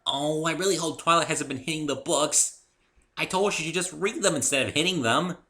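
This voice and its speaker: Guy doing a hyper girly cartoon pony, high and bright, super perky and bouncy. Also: a little fast. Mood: playful. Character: bubbly, excitable young female cartoon pony.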